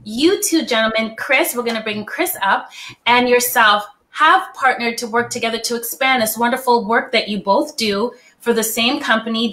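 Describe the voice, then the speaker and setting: A woman hosting an interview, warmly affirming her guests and laughing easily. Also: a little high. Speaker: host.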